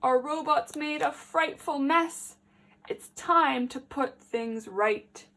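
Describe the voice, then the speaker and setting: A woman teacher reading a picture book aloud to young children, expressive and emphatic, addressing them directly with questions. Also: sits high, slow pace, rough recording.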